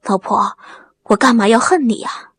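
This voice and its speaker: A woman narrator playing a simple, honest-seeming young husband in an audiobook, gentle and slyly playing dumb. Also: measured pace, slightly rough, no room sound. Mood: feigned innocence. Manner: low, gentle, feigned simplicity.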